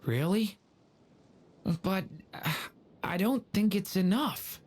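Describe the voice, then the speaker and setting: Earnest young would-be warrior. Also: female voice, mid-range voice, lively intonation, takes it slowly, darkish tone. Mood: hesitant, friendly. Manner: sincere, modest. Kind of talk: video game voice acting.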